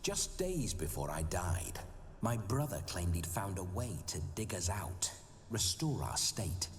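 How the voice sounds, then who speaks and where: A man's voice: low voice, very animated, a little slow, slight background noise. An actor playing the jovial, boastful ghost of a hard-drinking nobleman brawler in a video game. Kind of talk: video game cutscene acting. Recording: game audio with reverb and music.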